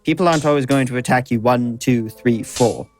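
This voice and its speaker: An actor playing a seasoned sword instructor in an audio drama, dry, blunt and patient, with a measured teaching manner. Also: male voice, mid-range voice, soft background music, lightly acted. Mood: firm, instructive.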